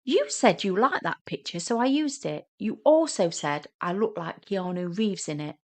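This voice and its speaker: A woman's voice: medium pitch, expressive, moderate pace, a little mellow. A woman voicing a shy, awkward man in his forties in a comic audio story, nervous, indignant and easily flustered. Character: shy, awkward, easily flustered forty-something older brother.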